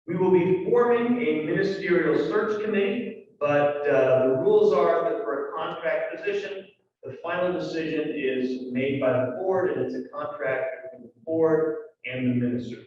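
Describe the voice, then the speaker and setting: A man speaks to a congregation in a loose, conversational way, dropping fillers and little asides as he goes. His voice sits a little high for a man. It reaches the mic from far off across a large echoing hall, with a dull, muffled edge. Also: moderate pace. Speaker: church leader giving announcements. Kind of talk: congregational announcements. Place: large church sanctuary.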